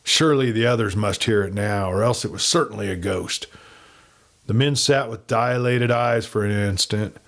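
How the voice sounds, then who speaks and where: A man reading a western novel aloud as an audiobook narrator, in an expressive, dramatic storyteller's manner. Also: lowish voice, normal speed.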